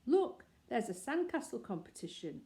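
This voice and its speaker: Woman voicing an excited young boy. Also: fairly high pitch, normal speed, pitched above the performer's own voice. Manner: excited and eager.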